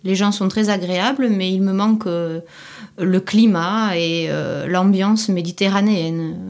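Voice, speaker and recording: A woman with a southern French accent talks in an unscripted interview. Her voice sits at an ordinary middle pitch. She explains things at length in a measured, thoughtful way. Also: darkish tone. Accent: southern French (Midi). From Corsica. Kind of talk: unscripted interview answers for a language course.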